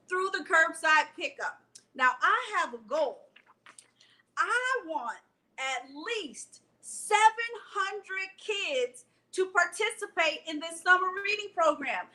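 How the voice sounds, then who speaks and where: A middle-aged woman hosting a library story time, talking directly to children and reading aloud in an expressive way. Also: extremely high pitch. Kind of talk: children's read-aloud and chatty announcements to young viewers.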